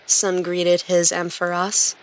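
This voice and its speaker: A woman's voice: on the low side, monotone, slow, low-quality recording. A woman reading scripted story narration as a podcast narrator and host.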